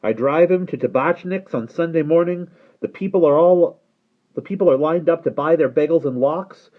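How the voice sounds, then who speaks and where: A man's voice: a little high, moderate pace, narrow, tinny audio, voice pushed up, lightly acted. A man voicing an indignant, bitter young man who rants emphatically and sardonically about his boss. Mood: exasperated, indignant.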